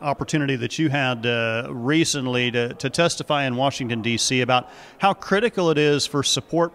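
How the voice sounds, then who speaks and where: A man's voice: mid-range voice, a bit bright. A middle-aged man, a farm radio host asking interview questions with frequent "uh" fillers. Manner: friendly broadcaster, leading questions with "uh" fillers. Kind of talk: broadcast interview. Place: indoor office. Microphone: handheld station mic.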